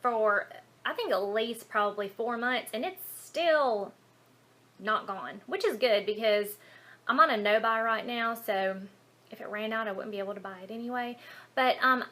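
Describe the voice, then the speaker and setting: Female beauty vlogger, Southern US accent, bright and high-ish voice, casual rambling Q&A delivery. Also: normal speed. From Alabama, United States. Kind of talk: casual chatty Q&A to camera.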